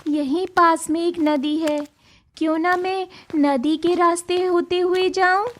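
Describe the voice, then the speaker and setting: A woman's voice: very high-pitched, fairly even intonation, measured pace, pitched above the performer's own voice. A woman voicing a lonely, meek outcast village girl in a cartoon story, plaintive and wistful. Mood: weary, resolved. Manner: plaintive, talking to herself or pleading. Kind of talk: Hindi cartoon moral story dialogue.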